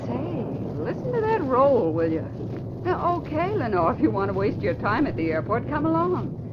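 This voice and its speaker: An actress playing a breezy, wisecracking young woman photographer in an old radio drama, slangy and brisk. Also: sits high, very animated. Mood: breezy, sardonic. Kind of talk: 1940s radio acting. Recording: muffled old radio recording with hiss.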